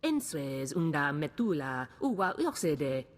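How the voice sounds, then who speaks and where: Low-voiced female alien. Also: sing-song, a little slow. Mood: earnest. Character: female alien speaking an invented tongue. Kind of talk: invented alien-language game dialogue. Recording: long reverberant tail.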